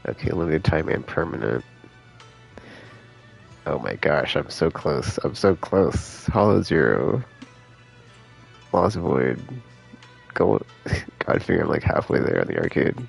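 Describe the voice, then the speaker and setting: A man with a low-pitched voice full of heavy vocal fry chats casually on a live game stream. He thinks aloud in loose, slangy phrases, his intonation swinging up and down, with music playing behind him. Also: big pitch swings, measured pace, pauses often. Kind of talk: casual rambling stream commentary.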